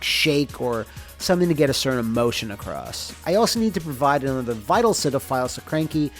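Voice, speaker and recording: Male narrator, mid-pitched, informal game-developer explainer, conversational delivery, music bed underneath. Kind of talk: informal scripted narration of a behind-the-scenes explainer.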